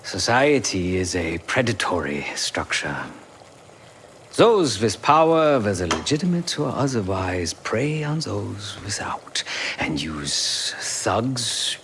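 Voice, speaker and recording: A man playing a remorseful, pacifist former enforcer in a video game cutscene, speaking in formal, measured, philosophical phrases. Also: lowish voice, very animated, a bit bright, slight background noise, heavily acted. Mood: grave, disdainful.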